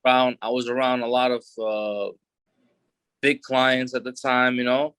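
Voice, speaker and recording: A man's voice: medium pitch, measured pace, smooth, dry recording. Man, 30s, new york accent, laid-back rambling talk, dry close sound. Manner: relaxed street talk with many fillers and restarts. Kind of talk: casual interview.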